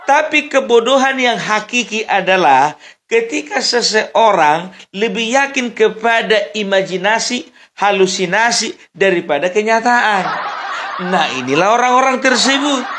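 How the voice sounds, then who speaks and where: A man's voice: sits high, animated, a little slow. A man from Sumatra, hosting a casual political commentary monologue, laughing often as he mocks and speaks straight to his viewers. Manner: mocking, laughing often, addresses viewers directly. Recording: noisy background.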